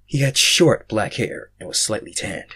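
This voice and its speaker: A young man reading fan fiction aloud, casual in his chat and theatrical in his narration, swinging between hushed and loud. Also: lowish voice, expressive, unhurried, husky. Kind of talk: casual intro and dramatic story narration.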